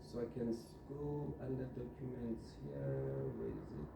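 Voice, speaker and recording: A man giving an informal step-by-step software tutorial, instructing and often checking in with the listener. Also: mid-range voice, sing-song, measured pace, music in the background. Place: indoor room, filming a computer monitor. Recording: roomy echo, distant mic, clear background noise.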